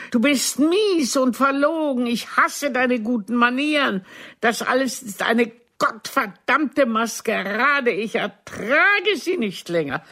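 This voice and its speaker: An actress in a radio drama playing a haughty, mocking former lover, theatrical and cutting in formal speech. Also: female voice, on the high side, a bit bright. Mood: theatrical fury.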